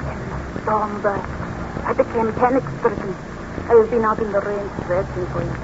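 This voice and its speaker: A woman's voice: medium pitch, moderate pace, lightly acted. A woman playing a panic-stricken, distraught servant in a 1930s radio serial, with a theatrical delivery. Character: panic-stricken female servant who lost a child. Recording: noisy, muffled 1930s radio recording.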